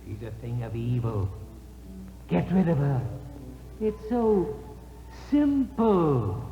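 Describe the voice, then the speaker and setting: A man's voice: on the high side, sing-song, slow, exaggerated voice. An actor playing a sinister male ghost who coaxes a guest toward murder, speaking through a ghostly reverb in an old radio broadcast. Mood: urging. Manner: coaxing, insinuating, urges murder. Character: sinister male ghost host of a phantom party. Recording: muffled 1950s radio broadcast with echo. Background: eerie music.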